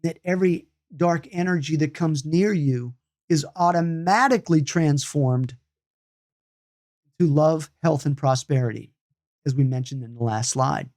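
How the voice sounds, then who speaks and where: Late-fifties man teaching energy healing, unhurried. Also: a little high, a little mellow. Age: 50s. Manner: loose, repetitive, many restarts and asides. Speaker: meditation and healing instructor. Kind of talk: spoken lesson on energy healing.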